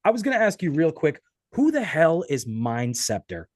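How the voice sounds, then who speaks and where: A man's voice: fairly high pitch, big pitch swings, moderate pace, dry recording, studio quality. An American man hosting a podcast, asking questions and chatting casually in a conversational interview.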